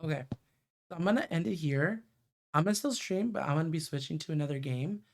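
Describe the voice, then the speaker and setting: A man's voice: on the high side, animated, fast talker, slight background noise. A man giving casual livestream commentary as a game streamer. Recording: faint game music behind the voice.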